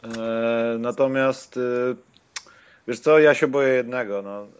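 A man of middle pitch hosting a casual podcast, with a sardonic streak and quick joking asides. His voice comes through dull and lo-fi. The sound is dry, with no room around it. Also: fairly even intonation, smooth, no echo. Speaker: podcast host. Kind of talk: casual chat.

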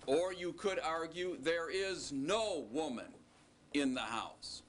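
Male teacher, slow and deliberate delivery, joking asides, bright tone, faint hiss behind. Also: fairly high pitch. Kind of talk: recorded classroom lecture.

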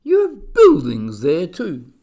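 Man as gentle male elephant, storybook animal, protective, sincere, pleading, highly animated pitch. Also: normal speed, vocal fry, exaggerated voice. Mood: eager concern.

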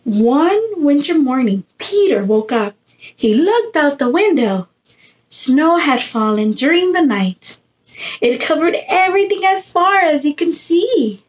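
Animated high-pitched woman reading to children. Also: takes it slowly, rough recording. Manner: expressive, coaxing, puts questions and prompts to listeners. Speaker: preschool teacher reading to children. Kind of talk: animated picture-book read-aloud to young children. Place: indoor room against a plain textured wall.

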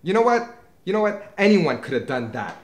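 A man's voice: high voice, very animated, normal speed, echoey room. A man playing a tense, swearing young man. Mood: bitter. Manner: curt, swearing, defensive. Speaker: homeowner. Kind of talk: indie drama acting.

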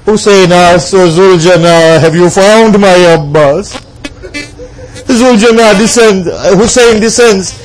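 High-pitched male preacher, slow mournful delivery. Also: poor audio. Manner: solemn, impassioned, dramatic delivery with plaintive cries. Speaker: preacher reciting a religious lament. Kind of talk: mournful devotional sermon storytelling.

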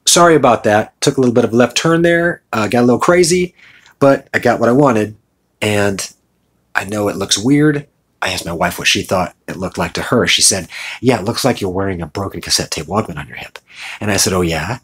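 A man's voice: fairly low pitch, animated, fairly quick, a bit bright, slightly rough. A middle-aged American man talking informally and conversationally in a casual show-and-tell vlog. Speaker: video host.

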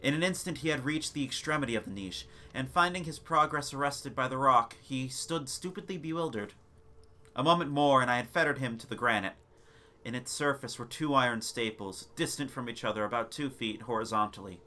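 A man reading a gothic tale as a theatrical, deliberate dramatic narration. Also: mid-range voice, sharp tone. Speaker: narrator of a gothic short story. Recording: noisy ambience sound bed. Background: background ambience and sound effects.

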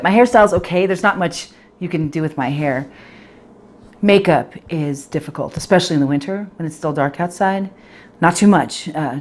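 Actress as fretful, self-critical woman of twenty-eight, low register, confessional stage monologue, direct address. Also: lightly acted. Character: anxious, self-critical 28-year-old professional woman, obsessive about appearance.

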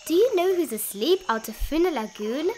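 A woman narrating a rhyming children's bedtime picture book in an expressive, sing-song read-aloud style with drawn-out emphasis. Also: sits high, a little hiss or hum. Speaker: storybook narrator.

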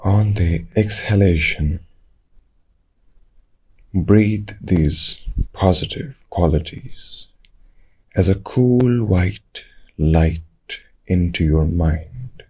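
A man leading a guided meditation, giving calm, measured instructions in short phrases with long pauses. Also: low voice, slightly rough.

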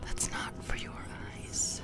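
A sinister female sleep paralysis demon played by a woman whispers in a breathy, intimate voice. She speaks very slowly, teasing and possessive, soothing while she menaces. Music plays clearly behind this ASMR horror roleplay. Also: close to an everyday voice. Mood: eerie, coaxing. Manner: slow, intimate, menacingly tender.

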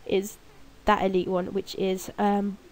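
A woman's voice: mid-range voice, monotone, unhurried, darkish tone, a little hiss or hum. A young woman with a cold and a blocked nose, rambling and mocking herself as she talks over her gameplay alone in her room at night. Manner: rambling, self-mocking, giggly. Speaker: gaming video creator. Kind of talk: casual gameplay commentary.

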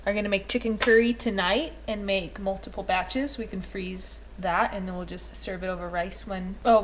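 A woman's voice: mid-range voice, fairly bright tone, slight background noise. A young woman chatting casually into a handheld camera, explaining her plans in an upbeat, talkative way, first in a car and then in a kitchen. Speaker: family vlogger. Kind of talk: casual vlog narration. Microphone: handheld action camera at arm's length.

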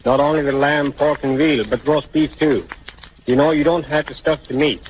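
A man with a husky voice, a chef guest in a scripted 1930s radio interview. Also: on the high side, steady tone, normal speed, a little hiss or hum. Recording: dull lo-fi 1930s radio broadcast.

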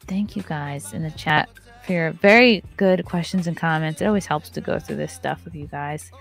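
A woman's voice: low-pitched, normal speed, vocal fry, faint music behind. A woman in her thirties hosting a casual livestream podcast from a home studio, loose and joking, swearing freely. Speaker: podcast host. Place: home studio with neon lights. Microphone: large studio mic on arm. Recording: dry close-mic sound.

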